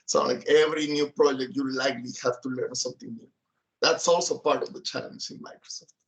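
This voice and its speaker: A man's voice: medium pitch, steady tone, fairly quick, creaky, poor audio. A man from Mexico City giving a keynote over a video call, listing points and checking in with "right?". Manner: lists points, tags with "right?". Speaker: keynote speaker, software engineer. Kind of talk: keynote talk.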